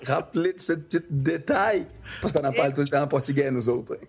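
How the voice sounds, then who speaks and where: A man's voice: on the high side, brisk, creaky, husky, highs cut off. A man chatting casually as a co-host on a podcast. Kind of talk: casual chat.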